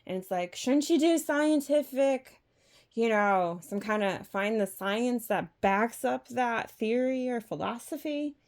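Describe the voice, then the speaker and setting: A woman speaking off the cuff in an opinion vlog, halting and rambling, often posing rhetorical questions. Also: a little high, lively intonation, darkish tone. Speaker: vlog host. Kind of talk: unscripted opinion monologue.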